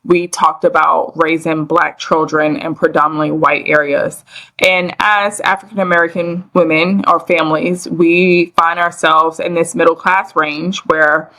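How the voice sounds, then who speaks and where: Gravelly-toned upbeat woman podcast host. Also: deep voice, sharp tone. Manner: upbeat, effusive, enthusiastic introductions. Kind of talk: casual podcast hosting.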